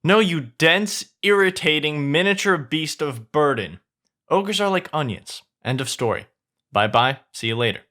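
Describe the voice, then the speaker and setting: A man's voice: a little high, animated, measured pace, pushed and bright. A man voicing a blunt, grumpy, sarcastic ogre in a fairy-tale story, speaking emphatically. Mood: irritated. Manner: exasperated, emphatic.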